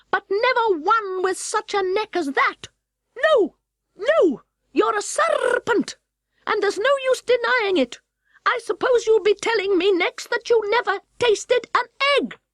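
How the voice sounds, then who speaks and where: Storybook pigeon: very high-pitched, swooping, contemptuous and sulky, quick to argue. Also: female voice, lively intonation, dry recording, studio quality. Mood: scornful. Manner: contemptuous, emphatic, argumentative. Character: shrill, indignant mother pigeon, scornful and sulky.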